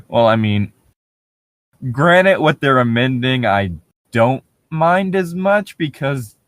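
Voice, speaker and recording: A man's voice: medium pitch, lively intonation, takes it slowly, no room sound. A man bantering casually and reading aloud with sarcastic commentary, often breaking into amusement. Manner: wry, sarcastic, laughs often. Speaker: co-host reading and mocking online horror fiction.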